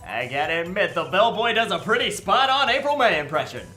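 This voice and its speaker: A man's voice: high voice, sing-song, brisk, sharp tone, full character voice. Man acting young male lawyer, wildly swooping intonation, sarcastic asides, easily rattled, comedic game dub, echo with faint music. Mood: wry, joking.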